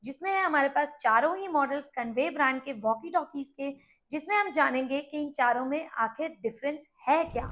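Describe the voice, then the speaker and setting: A woman's voice: high voice, a bit bright. A woman with an Indian accent hosting a casual product comparison, explaining things and mixing English words into her speech. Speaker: product review host.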